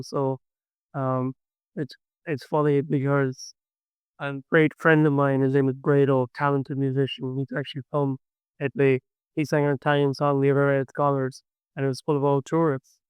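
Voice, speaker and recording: Chatty Irish man, very clear voice. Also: medium pitch, level tone, moderate pace, no echo, poor audio. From Ireland. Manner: chatty, storytelling, enthusiastic. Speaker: folk singer-songwriter guest. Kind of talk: casual interview chat.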